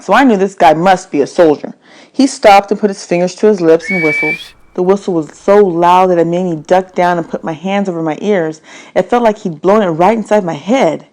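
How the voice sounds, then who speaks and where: A woman's voice: on the low side, a little mellow. A woman narrating a children's novel in the first person, telling it expressively with stressed phrases. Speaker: read-aloud narrator.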